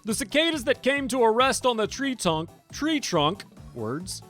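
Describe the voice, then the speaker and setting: Lively, high-pitched woman narrating a game. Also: a little slow. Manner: expressive, storytelling. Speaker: streamer. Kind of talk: dramatic read-aloud narration of a visual novel on a livestream. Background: game music.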